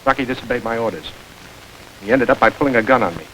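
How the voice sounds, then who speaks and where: A smooth, sardonic gang boss played by a man holds court in an old crime film. His voice sits at an ordinary middle pitch and stays cool and self-assured. A faint hiss runs under the old soundtrack. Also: quick pace, a bit bright, natural voice, low-quality recording. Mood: commanding. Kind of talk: 1930s film acting.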